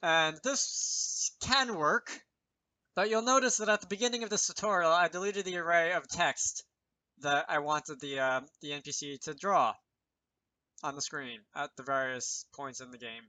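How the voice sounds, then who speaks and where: A man's voice: fairly high pitch, normal speed, sharp tone, no room sound, low-quality recording. A man presenting an informal programming tutorial, thinking aloud with self-deprecating asides as he explains. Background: keyboard typing and mouse clicks.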